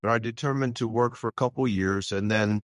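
A man's voice: medium pitch, fairly even intonation, brisk, dry recording, clean studio sound. An older man, a pastor, sharing his testimony earnestly with frequent fillers and restarts. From Louisiana, US. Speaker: pastor, guest. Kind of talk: personal testimony in a radio interview.

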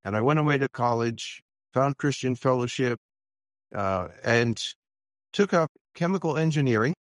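A man's voice: medium pitch, no room sound. An older man, a pastor, sharing his testimony earnestly with frequent fillers and restarts. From Louisiana, US. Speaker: pastor, guest. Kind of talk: personal testimony in a radio interview.

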